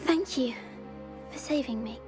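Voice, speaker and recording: A woman's voice: high-pitched, a little slow. A woman voicing a vulnerable, earnest little boy in a video game. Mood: relieved. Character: frightened, grateful little boy. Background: faint music.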